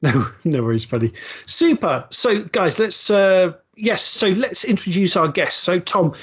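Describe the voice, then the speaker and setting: A man's voice: high-pitched, expressive, unhurried, fairly bright tone, husky, muffled recording. Upbeat rambling man hosting a podcast. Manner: upbeat, rambling, self-deprecating, says super a lot. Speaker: podcast host. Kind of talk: casual chat.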